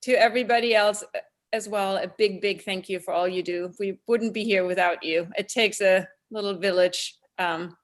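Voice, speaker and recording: A woman's voice: mid-range voice, steady tone, moderate pace. A woman hosting an award ceremony over a video call, chatty with fillers. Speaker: event host.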